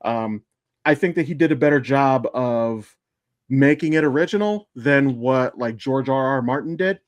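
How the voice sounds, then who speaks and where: A man with a medium-pitched voice chats as a podcast co-host. He talks in a loose, informal fan-discussion style and swears freely. The sound is dry, with very little room in it. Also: normal speed, no echo. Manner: informal, profane.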